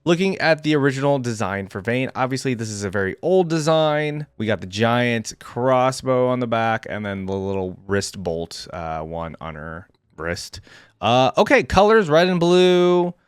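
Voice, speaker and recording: A man's voice: medium pitch, expressive, moderate pace, clean tone, no room sound, clean studio sound. A man hosting a reaction video, giving casual, slangy gaming commentary as he thinks aloud.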